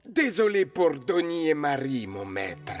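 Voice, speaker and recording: A small, scheming cartoon cat, speaking high and slowly with theatrical flair. The delivery coaxes and swoops, over clear background music. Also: male voice, very animated. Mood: calm. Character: small cunning, theatrical male cat hero. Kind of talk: French-dubbed children's cartoon acting. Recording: music behind the voice.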